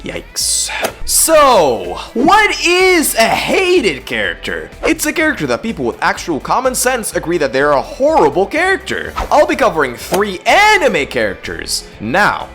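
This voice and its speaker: A young adult YouTube host roasting in a sarcastic, mocking scripted commentary, jumping between loud outbursts and low mutters. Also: wildly swooping pitch, measured pace, soft background music.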